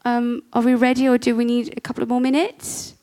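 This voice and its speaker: A woman's voice: on the high side, flat delivery, a little fast, dark tone. A woman hosting an event, introducing the next guest casually with many fillers. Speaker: event host. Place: event venue.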